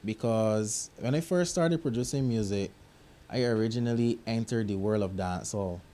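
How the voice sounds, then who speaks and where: A man's voice: fairly low pitch, normal speed. A young Barbadian man, a music producer, talking casually and reflectively about his work in an interview. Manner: relaxed, reflective, drops into local grammar. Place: recording studio.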